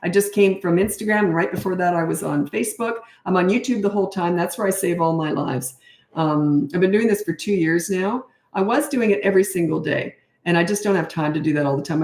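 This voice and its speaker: A middle-aged woman coaching parents on a casual livestream, giving blunt, practical advice with chatty asides while her voice grows slightly hoarse. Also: on the low side, a little fast, darkish tone, roomy sound.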